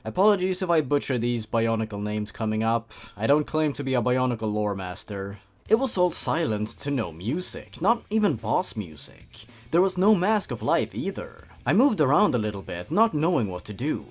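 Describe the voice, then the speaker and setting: A man hosting a comedic reading, moving between dramatic narration and wry, sarcastic asides with theatrical emphasis. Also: mid-range voice. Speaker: comedy video host reading and commenting on horror fan fiction. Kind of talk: comedic commentary mixed with dramatic story read-aloud.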